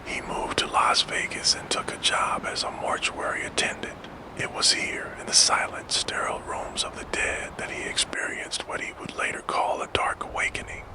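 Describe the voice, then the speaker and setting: A man narrating a scripted story in a hushed, gentle ASMR whisper, as the host of a sleep storytelling show. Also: moderate pace, poor audio. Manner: hushed, gentle, soothing delivery. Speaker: host and narrator of a true crime sleep channel.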